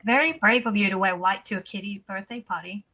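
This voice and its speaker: Casting: actress as wry, sociable mom, forward bright voice, mid pitch, table-read drama. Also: female voice, a little fast, dull audio. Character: Sam, wry, sociable mother at her son's party.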